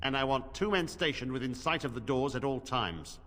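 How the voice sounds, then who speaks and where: A man's voice: medium pitch, lively intonation, moderate pace, a bit bright, big-room sound, close to an everyday voice. A man playing a stern, solemn templar commander in a fantasy game, speaking with command. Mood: grave, commanding.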